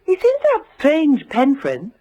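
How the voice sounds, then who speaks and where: An actress playing a poised, sharp-witted British lady investigator in a radio detective drama, arch and inquisitive. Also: sits high, huge pitch swings, a little slow, muffled recording, heavily acted. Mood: intrigued. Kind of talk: 1960s-style detective radio drama acting.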